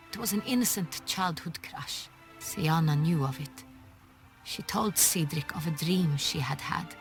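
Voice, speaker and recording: A woman playing a poised, regal ruler of a fantasy duchy in a game, speaking formally and eloquently. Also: sits low. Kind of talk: cinematic fantasy game dialogue. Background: prominent background music.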